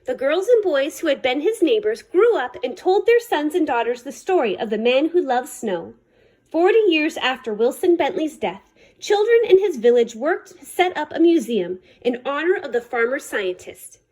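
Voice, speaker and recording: A woman reading a children's picture book aloud in an expressive storytelling style, with measured, dramatic phrasing. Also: high voice. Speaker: reader of a children's picture book, library staff.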